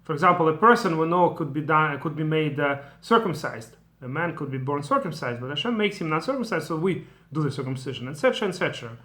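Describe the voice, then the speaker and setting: Man teaching, bright voice. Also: fairly high pitch, animated, quick pace, hardly any pauses. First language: Russian. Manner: digressive, thinking aloud with asides. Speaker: Torah teacher. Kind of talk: explanatory lecture.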